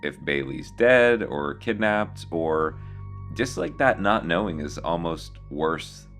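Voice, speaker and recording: A man giving casual reaction commentary as a host, musing aloud in a halting way. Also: deep voice, lively intonation, a bit bright, faint music behind. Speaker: host reacting to horror videos.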